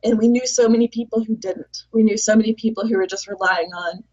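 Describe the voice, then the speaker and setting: A woman's voice: a little high, fairly even intonation, fast talker, a little mellow, no echo. A woman talking casually as a guest, a food blogger who explains things at length with small restarts. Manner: chatty, detailed explainer. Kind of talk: casual interview chat. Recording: dull lo-fi recording.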